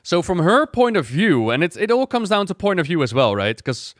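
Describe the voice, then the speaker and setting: A man hosting a gaming reaction video, talking casually and retelling things in an animated way with repeats for emphasis. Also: high-pitched, brisk, no room sound, clean studio sound.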